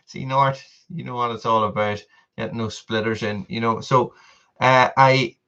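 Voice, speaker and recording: A man's voice: mid-range voice, fairly bright tone, dull audio. A man, hosting a casual live stream and chatting to viewers. He rambles, repeats himself and laughs often. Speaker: channel host on a live stream.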